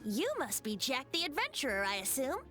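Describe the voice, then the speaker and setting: A man's voice: very high voice, sing-song, brisk. An actor playing a cheerful, teasing young male bard in a game, with game music behind. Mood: playful. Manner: theatrical, teasing.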